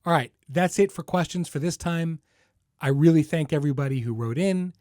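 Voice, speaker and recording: A man's voice: fairly high pitch, normal speed, a little mellow, no room sound, clean studio sound. A middle-aged man hosting a podcast, speaking casually and wryly straight to listeners. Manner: conversational, wry, informal. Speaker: podcast host and creator. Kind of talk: casual podcast address, answering listener questions.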